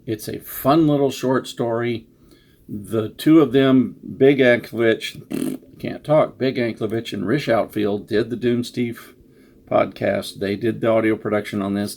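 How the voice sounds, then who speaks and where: A man's voice: medium pitch, vocal fry, a little mellow. A man giving a casual, unscripted introduction as an author, rambling and self-deprecating. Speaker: author introducing his story.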